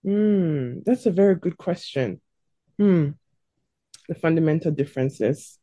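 A woman's voice: sits low, expressive, measured pace, mellow, dry recording, studio quality. A woman in her twenties from South Africa, thoughtfully answering interview questions as a guest. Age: 20s. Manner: thoughtful, searching for words. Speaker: stylist and guest.

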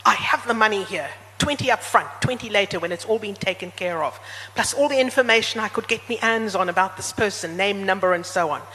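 A woman's voice: mid-range voice, pushed and bright, lightly acted. A woman voicing a jittery, shady businessman in a storybook reading, with a wobbly voice and a bad fake Cockney accent. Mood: anxious bluffing. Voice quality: quavering, wobbly. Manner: nervous, halting, blurting. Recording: echoey hall, background noise.

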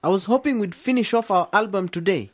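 An actor playing an outspoken, practical young club member in a radio drama, with a frank, questioning manner. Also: male voice, sits high, fairly quick, dry recording, studio quality.

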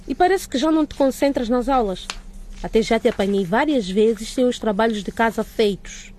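A woman playing a stern but concerned school director in a radio drama, with a measured, admonishing manner. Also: on the high side, a little mellow, a little hiss or hum, close to an everyday voice.

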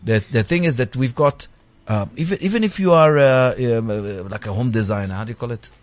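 A man's voice: mid-range voice, a little fast, no echo. A man with a Spanish accent chatting as a guest in a radio studio, effusive and searching for words. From Spain or Spanish-speaking country. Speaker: pawn shop owner and reality show guest. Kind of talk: casual radio chat.

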